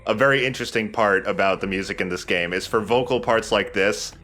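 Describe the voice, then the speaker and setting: A man's voice: lowish voice, brisk, sharp tone. A man hosting a let's play with casual, joking, irreverent game commentary over game sound. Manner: irreverent, profane.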